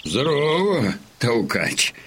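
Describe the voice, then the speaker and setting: A man playing a folksy, pious old Cossack with an unhurried, musing way of talking in an audio drama. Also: medium pitch, huge pitch swings, fairly bright tone, a little hiss or hum, heavily acted. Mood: genial, curious. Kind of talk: Russian audio drama acting.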